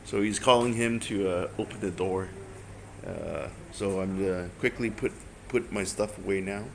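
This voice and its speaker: A man from Hong Kong chatting candidly as a travel vlogger, rambling and excitable. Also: low voice, unhurried, vocal fry. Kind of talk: casual vlog narration and chat. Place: bus stop area outdoors. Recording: street noise behind.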